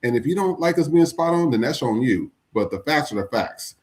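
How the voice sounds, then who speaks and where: A man's voice: mid-range voice, lively intonation, brisk, a little mellow. A man hosting a podcast, giving blunt, profane commentary on the news. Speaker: podcast host. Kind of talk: casual commentary.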